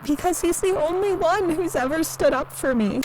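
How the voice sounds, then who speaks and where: An actress playing a timid, lonely, naive museum worker in an audio drama, speaking hesitantly and earnestly in a recorded interview. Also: female voice, very high voice, lively intonation, normal speed. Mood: meek, defensive. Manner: hesitant, soft-spoken, earnest. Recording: clearly audible background noise.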